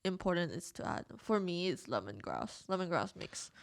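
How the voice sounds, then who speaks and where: A woman from Malaysia with a mid-pitched voice that moves a lot in melody. She talks in an easygoing, relaxed way, with short agreeing replies and frequent laughter. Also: moderate pace, gravelly. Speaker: guest, street interviewer. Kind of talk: casual interview chat.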